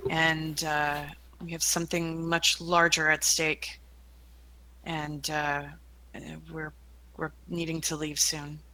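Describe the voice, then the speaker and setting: A woman's voice: sits low, level tone, slow, pushed and bright, rough recording. A woman player speaking politely in character in a tabletop game, with frequent fillers. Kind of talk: in-character roleplay.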